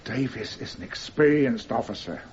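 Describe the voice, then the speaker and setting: An actor playing a firm, guarded police commander who answers formally and tersely under cross-examination in a radio courtroom drama. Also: male voice, mid-range voice, expressive, moderate pace, darkish tone, lightly acted. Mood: stonewalling. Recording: dull radio recording with clear noise.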